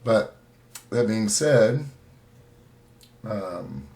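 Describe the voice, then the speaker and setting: A man hosting a show in a casual, folksy way, thinking aloud in a halting, unscripted manner. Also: fairly low pitch, lively intonation, dark tone. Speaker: host of a writing tips show.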